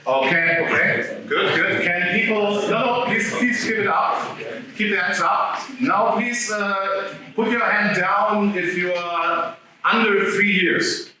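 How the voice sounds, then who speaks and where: A man's voice: high voice, slow, a crowd in the background. Man talking to a room. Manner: animated, addresses the audience directly. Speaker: speaker giving a talk. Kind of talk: live talk to an audience. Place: room with a live audience. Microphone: no microphone, picked up from afar. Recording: echoey room, voice from a distance.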